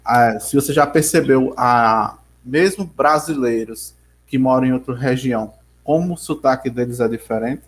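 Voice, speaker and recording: A man's voice: mid-range voice, normal speed. A man teaching an online geography class with a Rio Grande do Norte accent, rambling and restarting his sentences as he calls on students over a video call. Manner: rambling, restarts often, addresses students by name. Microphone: computer mic on video call.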